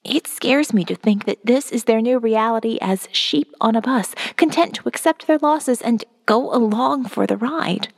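A woman's voice: fairly high pitch, normal speed, vocal fry, hardly any pauses, long reverb tail. A woman voicing a weary, wary survivor reading out her diary in a wry, observant first-person audio novel narration. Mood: uneasy, sober.

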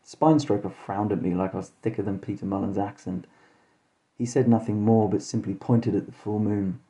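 A man hosting and narrating a scripted comic horror story in a deadpan, mock-dramatic way. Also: fairly low pitch, a little fast. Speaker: podcast host and storyteller.

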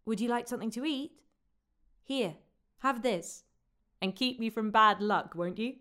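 A woman playing a gentle, courteous young crown prince in a fantasy audio story, polite and playful. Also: a little high, a little slow. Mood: gentle, friendly.

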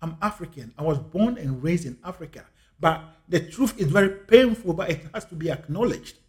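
A man with a Ghanaian English accent speaks in a fairly high voice. He talks in an earnest, reflective monologue and circles back to repeat his key phrases for emphasis. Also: animated, normal speed. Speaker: speaker on life and scripture.

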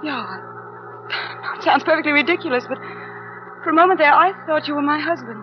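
A woman acting in a 1940s radio drama as a gentle, newly widowed young mother, speaking politely and hesitantly. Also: high-pitched, a little fast, soft background music, lightly acted. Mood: shaken, wistful. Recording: muffled 1940s radio broadcast recording.

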